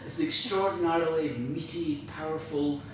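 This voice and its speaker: A man's voice: on the high side, steady tone, normal speed. Enthusiastic man speaking in a very echoey room. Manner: enthusiastic, emphatic, searching for words. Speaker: guest speaker, radio dramatist. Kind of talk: informal after-dinner talk about writing. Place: large indoor room at a club dinner. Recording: very echoey room, distant mic, faint noise.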